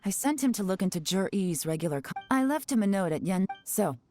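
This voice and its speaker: A woman voicing a cool, commanding spymaster in a fantasy video game, speaking in a composed, measured way. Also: mid-range voice, expressive, darkish tone, close to an everyday voice. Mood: cool, in control. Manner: composed, measured, giving orders. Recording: background music under the voice.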